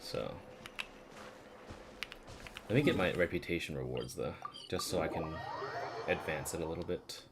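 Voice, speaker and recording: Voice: a bass voice, moderate pace, pauses often, heavy background noise. A game streamer chatting casually on a livestream, sometimes gushing and sometimes murmuring to themself while working. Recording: music playing behind the voice.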